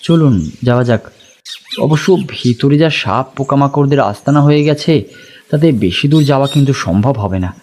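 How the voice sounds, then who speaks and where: An anxious local gentleman voiced by a male actor talks at length in an audio mystery drama. He explains things in a conversational way at a middling pitch. A faint noise sits behind his voice. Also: animated, normal speed, close to an everyday voice. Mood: explaining. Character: anxious, talkative local gentleman guiding the detectives.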